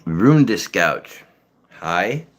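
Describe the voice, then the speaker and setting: Low voiced massachusetts guy rambling casual, lots of likes and ums, swears a lot. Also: sing-song, drawn-out words. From Massachusetts, North Shore. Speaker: voice actor. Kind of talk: casual livestream chat.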